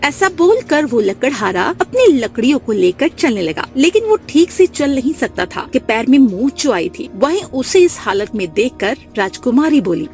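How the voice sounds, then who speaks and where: A woman narrates a children's story from a script. Her voice is fairly high and lively in intonation, with light music behind it. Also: moderate pace, few pauses.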